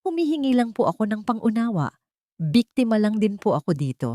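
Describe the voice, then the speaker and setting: A woman's voice: mid-range voice, sing-song, brisk, mellow, no echo, studio quality, pitched above the performer's own voice, lightly acted. A woman voicing a pregnant mistress who pleads as a wounded victim in a story. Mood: plaintive.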